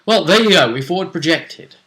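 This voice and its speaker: A man's voice: a little high, lively intonation, fairly quick, pushed and bright. A man hosting a role-play vidcast, talking casually through previews of upcoming matches.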